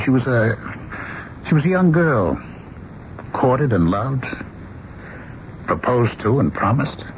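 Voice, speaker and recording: Earnest, compassionate man, lively pitch. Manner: earnest, impassioned. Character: earnest, gentle, compassionate man consoling women. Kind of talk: radio drama acting. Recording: muffled old radio broadcast, background noise.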